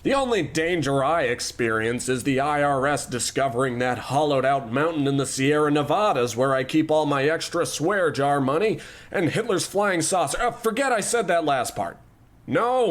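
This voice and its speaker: Man doing a smug, deadpan rich boss, fairly high voice, echoey hall. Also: moderate pace, natural voice. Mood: smug, bored. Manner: pompous, self-absorbed, deadpan. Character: pompous, callous male animation tycoon. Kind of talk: comedy radio play acting.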